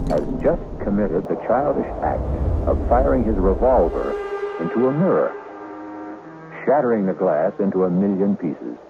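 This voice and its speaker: An older man narrating an old radio drama in a measured, dramatic way. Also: fairly low pitch, poor audio.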